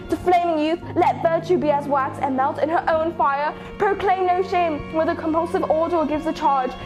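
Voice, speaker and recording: A woman's voice: sits high, natural voice. A woman performing an anguished, accusing young prince in a declamatory, impassioned amateur Shakespeare reading.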